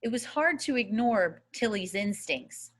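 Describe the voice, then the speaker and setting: Slow-paced woman reading a story aloud. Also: medium pitch, expressive. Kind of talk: audiobook-style readaloud of a first-person children's novel.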